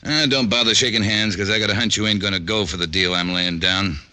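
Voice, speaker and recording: An actor playing a blunt, pushy, self-made factory boss in a mid-century radio drama, talking gruff, slangy tough talk. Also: male voice, on the low side, fairly even intonation, fast talker, sharp tone. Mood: gruff, challenging.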